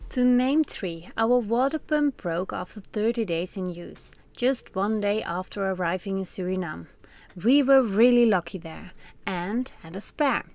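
A woman's voice: medium pitch, unhurried, vocal fry, a little mellow. Dutch-accented woman narrating a sailing vlog. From Netherlands. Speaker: sailing vlogger. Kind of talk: scripted voice-over narration. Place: on a sailboat cockpit under a canopy, outdoors.

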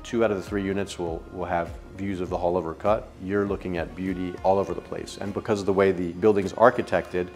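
A man in his forties with a very low voice, hosting a walk-through of a show apartment. He talks in an easygoing way, asking questions and chiming in with approval. Faint music sits under his voice. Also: steady tone, brisk, few pauses. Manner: easygoing, asks questions, affirming fillers. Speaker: real estate host. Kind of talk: conversational property tour interview. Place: show apartment kitchen, indoors.

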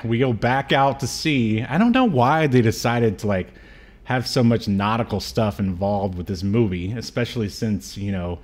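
A man's voice: fairly low pitch, normal speed. A man co-hosting a casual movie chat, talking in a joking, slangy way. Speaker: podcast co-host. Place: indoor room, on a video call.

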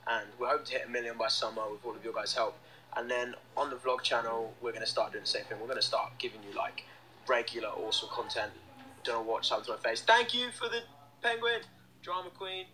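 A man's voice: mid-range voice, brisk, bright, forceful tone, slight background noise, rough recording. A young man chatting casually on a phone livestream in a small indoor room, upbeat and reading out fan comments. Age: young adult. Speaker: band member hosting a livestream. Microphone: phone held close to face.

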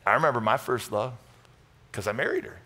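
A middle-aged American man preaching a conversational sermon, emphatic and animated, moving between loud bursts and hushed asides. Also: medium pitch, fairly quick. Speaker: pastor preaching. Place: large church auditorium stage with audience.

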